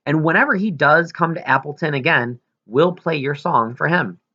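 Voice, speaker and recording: A man's voice: a little high, moderate pace, pushed and bright, lo-fi sound, voice pushed up. A man reading aloud as a considerate female researcher, friendly and explanatory, in an audiobook. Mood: friendly, apologetic. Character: considerate female whale researcher writing an apologetic letter.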